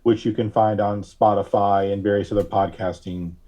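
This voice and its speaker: A man giving casual interview answers as a podcast guest, with hesitant, measured phrasing. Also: on the low side, fairly even intonation, warm tone, highs cut off. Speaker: podcast guest, writer and filmmaker.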